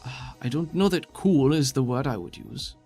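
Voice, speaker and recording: A man voicing a skeptical, wary female plant-being scientist in a formal, measured way for a tabletop game. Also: mid-range voice, darkish tone, faint music behind, lightly acted. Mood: cautious, measured. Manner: formal, measured, avoids contractions.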